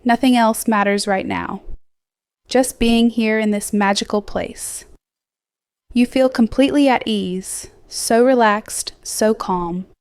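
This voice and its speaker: A woman gently guiding a sleep hypnosis meditation in a slow, soothing, scripted delivery with softly trailing phrases. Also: fairly high pitch, clear, resonant tone, no echo, studio quality. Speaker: hypnosis guide.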